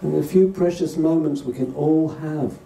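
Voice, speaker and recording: A man leading a guided meditation as a teacher, speaking gently and unhurriedly in short phrases with pauses between them. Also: fairly high pitch, creaky. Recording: distant roomy recording with faint noise.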